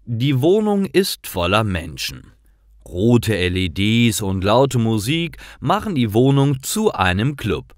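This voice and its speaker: A man narrating scripted stories for language learners in deliberate, clearly separated short sentences. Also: medium pitch, big pitch swings, no echo, clean studio sound.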